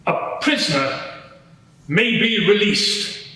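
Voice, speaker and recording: A man playing a matter-of-fact Roman soldier giving measured, explanatory testimony at a dramatised courtroom inquiry. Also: high voice, expressive, pushed and bright, heavily acted. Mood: earnest, disapproving. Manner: measured, explanatory, slightly hesitant. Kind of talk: dramatised courtroom testimony before a live audience. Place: large courtroom in a public building. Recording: very echoey large hall.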